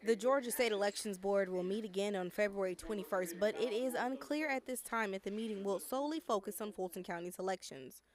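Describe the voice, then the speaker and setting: A woman with an American accent reads scripted narration as a television news reporter. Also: mid-range voice.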